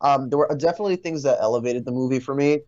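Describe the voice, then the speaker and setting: A man chatting casually as co-host of a movie discussion, hesitant, with many pauses and fillers. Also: mid-range voice, darkish tone, no echo. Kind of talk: casual chat. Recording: dull lo-fi audio, music bed. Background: music.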